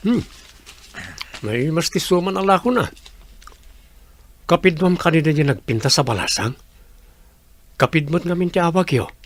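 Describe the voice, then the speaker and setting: An actor playing an easygoing, curious young construction worker in casual audio drama dialogue. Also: male voice, on the high side, expressive, moderate pace, pauses often.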